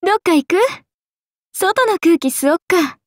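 High-voiced, breezy oni goddess. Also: female voice, animated, a little slow, dry recording, studio quality. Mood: breezy, teasing. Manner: chatty, teasing, laid-back slangy speech. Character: breezy, friendly, casual grown serpent-goddess oni woman. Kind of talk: game character dialogue.

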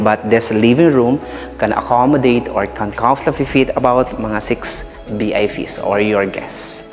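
A man with a Filipino accent walks a camera through a house and yard, pitching the property in a salesy mix of English and Tagalog. His voice sits at a middle pitch, and soft music plays faintly underneath. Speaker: real estate tour host. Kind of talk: walk-through property tour.

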